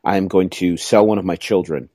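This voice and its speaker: Man, middle-aged, lowish voice, dry close sound, jokey rambling podcast host. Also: fairly even intonation, brisk, dark tone, no room sound. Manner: jokey, rambling, self-mocking. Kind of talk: casual chat.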